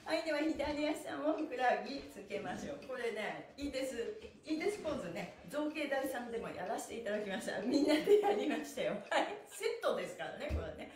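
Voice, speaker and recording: A woman's voice: sits high, big pitch swings, quick pace. A middle-aged woman teaching a stretching class in a cheerful, encouraging, sing-song chant while she exercises along. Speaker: stretching instructor and pantomime teacher. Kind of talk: live exercise class with counted chanting cues. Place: small indoor room, seated on exercise mat. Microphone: camera a few metres away. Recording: echoey room, distant mic.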